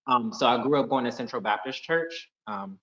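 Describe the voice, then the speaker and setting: A man from Pittsburgh joins a live dating show as a caller and talks in a polite, friendly, measured way. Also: medium pitch, crowd noise. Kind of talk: casual video-call chat. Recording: echoey, dull video-call audio.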